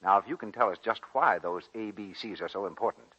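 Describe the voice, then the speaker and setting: Old time american radio announcer guy, lowish punchy voice, bit husky, muffled 1940s sound. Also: fast, sharp tone. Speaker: radio announcer and soap pitchman.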